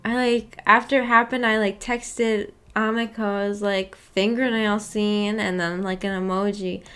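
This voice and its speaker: A woman's voice: mid-range voice, flat delivery, measured pace, a bit bright, smooth. A woman hosting a book club livestream, chatting casually in a giggly, rambling way and often questioning herself. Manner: giggly, laughs often while talking; rambling, self-questioning, puzzled. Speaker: streamer hosting a visual novel book club. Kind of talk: casual livestream chat reacting to a story.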